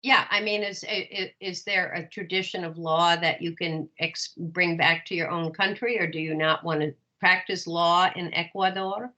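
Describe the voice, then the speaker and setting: An older American woman, a professor speaking off the cuff in an academic discussion, thoughtful and halting as she searches for words. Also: on the low side, steady tone, sharp tone. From United States. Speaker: professor teaching a masterclass.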